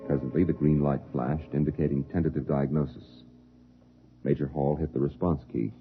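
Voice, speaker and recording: A man narrating a scripted 1950s radio drama in a formal, deliberate storytelling manner. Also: rumbling low, level tone. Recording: tinny, phone-like old radio, music behind.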